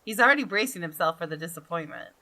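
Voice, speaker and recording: Gamer woman reading a visual novel out loud, lowish voice, crisp and bright, chats along. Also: expressive, quick pace. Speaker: gaming video host. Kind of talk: expressive narration of a visual novel with casual commentary.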